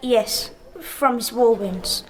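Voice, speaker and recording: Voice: mid-range voice, slow, a little hiss or hum, natural voice. An actor playing a timid, lonely little English schoolboy, hesitant and polite, in a radio drama.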